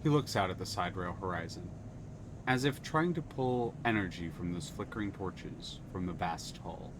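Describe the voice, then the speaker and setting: A man's voice: sits low, expressive, normal speed, noisy background. A man narrating a story game aloud on a live stream, reading expressively. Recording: music playing under the voice.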